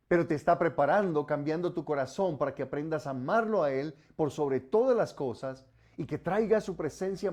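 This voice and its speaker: Emphatic preacher, higher voice. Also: male voice. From Colombia. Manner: emphatic preaching, rising on commands, dropping low and soft on solemn warnings. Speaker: pastor. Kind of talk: sermon.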